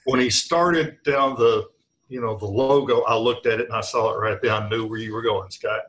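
A man's voice: medium pitch, steady tone, normal speed, fairly bright tone, poor audio. A man with a mid-range voice chats informally as one of a panel. He sounds like a participant chiming in conversationally. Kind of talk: casual chat.

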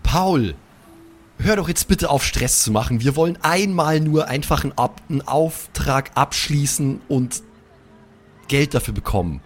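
A man's voice: fairly high pitch, animated, unhurried. Slangy man improvising in a tabletop game. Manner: slangy, with English loanwords. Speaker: player in an improvised pen-and-paper audio play. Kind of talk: casual, improvised in-game role-play chat.

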